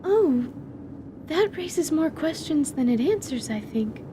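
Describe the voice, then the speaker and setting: A high, gentle voice of a bookish young sorceress, acted by a woman for a fantasy radio play. She chooses her words formally and with care, slightly hesitant. A faint rumble sits behind the recording. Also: expressive, slow. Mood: polite, thoughtful. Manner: formal, careful, hesitant phrasing.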